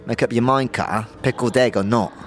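A man's voice: medium pitch, a little fast, lightly acted. A man voicing a chatty, slangy tavern keeper in a fantasy audio drama, with stammering asides. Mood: impatient, brusque.

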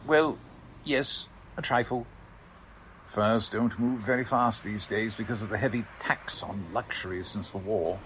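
An actor playing a polite, defensive warehouse manager in a 1940s radio drama, formal and hesitant in manner. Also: male voice, on the low side, unhurried, a bit bright, a little hiss or hum, poor audio. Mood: courteous, obliging. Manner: courteous, hesitant, fussily formal.

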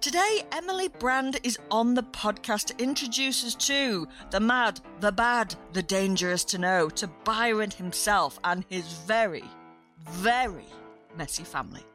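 Female podcast host, middle pitch, cheeky and self-mocking, conversational delivery. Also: animated, unhurried, a bit bright, faint music behind. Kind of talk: chatty history podcast.

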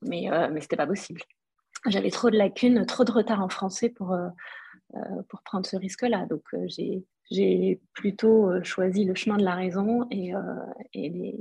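A woman's voice: medium pitch, steady tone, normal speed, creaky, warm tone, slightly rough. A woman from Algeria whose first language is Arabic, a brand founder telling her story in an interview, reflective and hesitant, with many fillers. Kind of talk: podcast interview.

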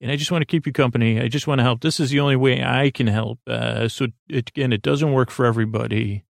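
A man with a creaky voice hosting a sleep podcast, meandering haltingly through a bedtime monologue and trailing off mid-thought. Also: mid-range voice, fairly even intonation, no echo, clean studio sound. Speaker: podcast host. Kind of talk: rambling bedtime monologue to help listeners sleep.

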